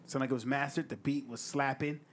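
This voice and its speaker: A man's voice: mid-range voice, fairly even intonation, brisk, a little hiss or hum. A man with a light voice hosting a music review show, chatting loosely, full of slang and swearing. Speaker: podcast host reviewing music. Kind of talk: casual chat.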